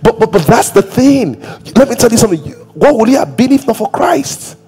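Husky Nigerian pastor preaching on stage. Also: male voice, very high-pitched, fairly quick. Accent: Nigerian English. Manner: animated, acts out quoted voices, breaks into singing and shouting. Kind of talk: sermon. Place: large church stage.

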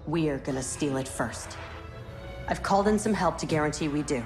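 Woman acting as a tough rebel commander lady in a game, low voice, huge music behind. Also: moderate pace, natural voice. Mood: grave, commanding. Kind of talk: video game dramatic acting.

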